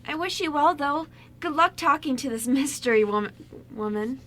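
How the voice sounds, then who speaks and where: A woman acts a sweet young woman in an amateur radio play. Her voice is high and bright, and she speaks as a gentle, encouraging best friend. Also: lively intonation, normal speed, a little hiss or hum. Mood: supportive. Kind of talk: amateur radio drama script reading.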